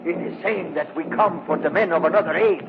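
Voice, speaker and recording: A male actor playing a sinister, gloating Latin American guide and high priest, mock-courteous and menacing, in old radio drama style. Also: a little fast, natural voice. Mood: ominous. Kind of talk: 1950s radio drama acting. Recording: noisy, muffled old radio recording.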